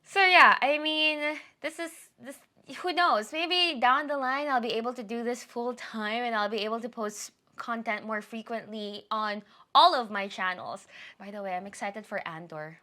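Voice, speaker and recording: Bright-voiced woman chatting to camera. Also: fairly high pitch, normal speed. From Philippines. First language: Tagalog. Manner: chatty, apologetic, rambling with self-corrections. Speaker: YouTuber giving a channel update. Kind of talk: casual chat to camera.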